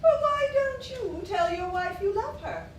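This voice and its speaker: An actress on stage playing a meddling, malicious married gossip with an arch, insinuating manner. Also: female voice, very high voice, lively intonation, unhurried. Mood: needling. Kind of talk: stage acting in a one-act play. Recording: echoey, noisy stage recording from far off.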